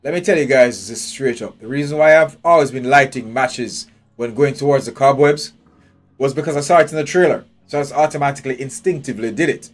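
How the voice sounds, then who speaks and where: A man's voice: mid-range voice, fairly quick. Blunt, profane male game streamer. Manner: blunt, profane, thinking aloud; nitpicking, questioning everything. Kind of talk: live gameplay commentary. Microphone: headphones with a desk mic. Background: faint game music.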